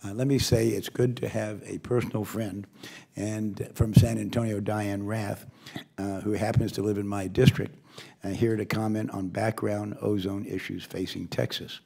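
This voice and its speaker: An older man with a hoarse voice, reading a formal opening statement as committee chairman with occasional asides. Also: fairly low pitch, little pitch movement, moderate pace, dark tone.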